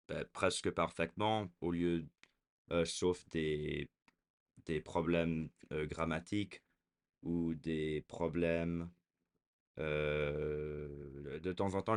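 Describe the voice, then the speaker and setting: A man in his twenties talking casually to a camera, hesitant, with frequent fillers and restarts. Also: deep voice. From raised in America. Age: 20s. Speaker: vlogger.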